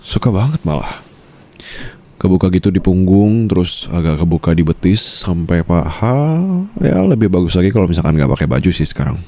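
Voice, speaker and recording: A man's voice: low voice, expressive, brisk, warm tone. A man playing a possessive, teasing mafia boss husband in an intimate, murmured ASMR roleplay, with some English phrases mixed in. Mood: flirtatious.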